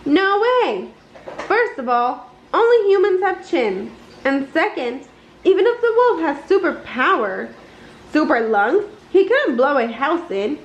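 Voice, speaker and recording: Woman playing a pompous goose professor, high and animated. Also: measured pace, exaggerated voice. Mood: indignant. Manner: pompous, emphatic lecturing. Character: pompous, indignant female goose professor, scientist. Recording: roomy, slightly dull, noisy home recording.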